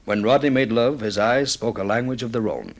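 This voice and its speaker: An actor playing a middle-aged film director, intense and mournfully insistent, with theatrical, deliberate delivery in vintage radio drama style. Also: male voice, medium pitch, lightly acted. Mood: impassioned, persuasive.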